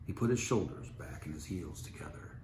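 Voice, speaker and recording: A man with a deep voice reads a story aloud. The recording is roomy, with a faint layer of noise. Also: a little fast, a little mellow. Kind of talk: story narration, reading aloud. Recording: roomy, noisy home recording.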